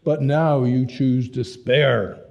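A man's voice: mid-range voice, fairly even intonation, slow, dull audio. A man voicing an earnest young German man with a German accent who speaks stiff, formal English and argues with passion.

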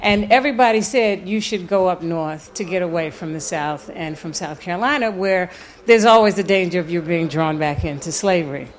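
A woman gives an informal lecture in a slightly low voice. She tells it like a story, stretching key words for emphasis. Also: moderate pace. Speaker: lecturer and author. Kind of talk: informal lecture with audio clips.

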